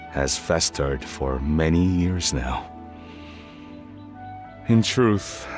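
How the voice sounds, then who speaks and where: A very deep, weary middle-aged father. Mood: reluctant. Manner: hesitant, sighing, weary. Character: middle-aged male tea merchant, humble, worried, reticent father. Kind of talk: video game voice acting. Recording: music behind the voice.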